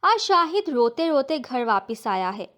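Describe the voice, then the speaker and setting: Woman acting an indignant, high-pitched angry mother. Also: sing-song, unhurried, a bit bright, heavily acted. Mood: indignant complaint. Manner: indignant, scolding. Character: angry neighbourhood mother come to complain.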